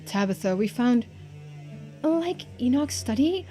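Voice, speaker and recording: An earnest young woman from a story game, acted by a female streamer, high in pitch. She confides bluntly and intensely in a rambling flow, with music behind her. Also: lively intonation, unhurried, warm tone. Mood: urgent.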